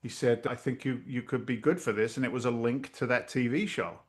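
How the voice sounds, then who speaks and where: A middle-aged man, a real estate investor telling stories in a casual interview over a video call, lively and blunt, acting out voices. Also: medium pitch, brisk. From Massachusetts. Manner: animated storyteller, acts out quoted voices, swears casually.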